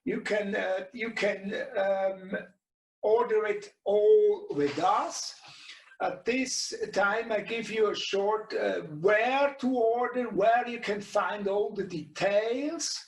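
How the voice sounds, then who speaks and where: Middle-aged male tutorial presenter, Swiss German accent, native German speaker, halting and slow, recorded in a reverberant room. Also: high-pitched. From Switzerland. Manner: halting, searching for words in non-native English. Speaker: webinar presenter and radio shop co-owner. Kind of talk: informal product tutorial. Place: small indoor radio workshop. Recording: echoey room, distant mic.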